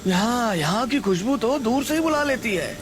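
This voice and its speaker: A man's voice: very high-pitched, expressive, normal speed, slight background noise, pitched above the performer's own voice. A man voicing eager, hungry passing customers at a food stall in a cartoon. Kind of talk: scripted cartoon dialogue.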